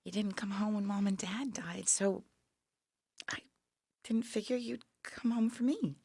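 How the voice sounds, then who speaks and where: An actress in an audio play playing a dying younger sister, weary, wry and resigned, with a frail, halting, breathy delivery that drops to whispers. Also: female voice, mid-range voice, mellow, dry recording, clean studio sound. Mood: quietly reproachful. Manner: frail, halting, wryly understated. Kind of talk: intimate audio play acting.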